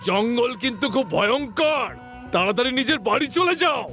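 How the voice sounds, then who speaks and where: An actor voicing a rough, greedy hunter in a cartoon dub, who barks orders and mocks in a gruff way. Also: male voice, very high voice, expressive, fairly quick, bright, forceful tone, faint music behind, heavily acted. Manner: gruff, mocking, bossy.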